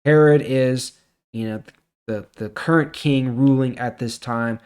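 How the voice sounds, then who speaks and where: A man's voice: mid-range voice, unhurried, a little mellow, clear, resonant tone. A man hosting a Bible reading series, moving between reading scripture and explaining it in an informal, emphatic way. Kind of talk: scripture reading with casual commentary.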